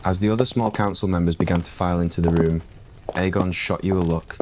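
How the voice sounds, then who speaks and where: A man with a very deep voice reading a scripted ASMR story. His delivery is calm and even, with a fairly flat intonation. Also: quick pace, very noisy. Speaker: narrator.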